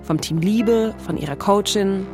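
A woman's voice: mid-range voice, measured pace, music in the background. A woman hosting a podcast, telling a scripted story in a conversational way. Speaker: podcast host and narrator.

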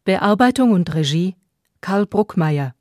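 A woman reads a scripted radio announcement slowly and matter-of-factly. Her voice is dark and mellow, recorded very dry and close to studio clean. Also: lowish voice, lively intonation, dry recording. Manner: measured, matter-of-fact. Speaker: radio announcer reading credits.

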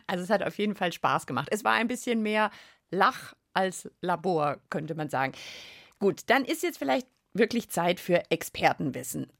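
Woman host, kids' radio, lively pitch, dry studio sound, giggly. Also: normal speed, creaky, no echo. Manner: giggly, cheerful, keeps things moving. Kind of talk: playful chat with a co-host.

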